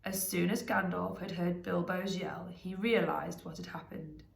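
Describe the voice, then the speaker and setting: A woman narrating a storybook aloud in an expressive reading style. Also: lowish voice, unhurried, vocal fry, roomy sound.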